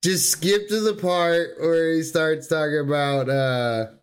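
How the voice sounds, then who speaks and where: A man's voice: fairly high pitch, fairly even intonation, slow pace, clean tone. A man co-hosting a podcast, talking about games in casual, slangy, emphatic fan talk. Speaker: podcast co-host. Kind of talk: casual gaming chat.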